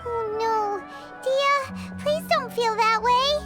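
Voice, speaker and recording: Game fairy companion: squeaky, childlike, excitable, very high, loud music behind. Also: female voice, takes it slowly. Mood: pleading. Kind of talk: video game voice acting.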